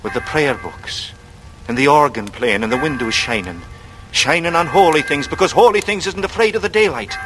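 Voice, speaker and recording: A man's voice: a little high, animated, moderate pace, music in the background, close to an everyday voice. Man acting a theatrical, brooding young drifter. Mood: brooding, rapt. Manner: theatrical speechifying, rambling and dreamy. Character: glib, brooding young male drifter with a murderous streak. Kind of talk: 1940s radio thriller acting. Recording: noisy vintage radio drama recording.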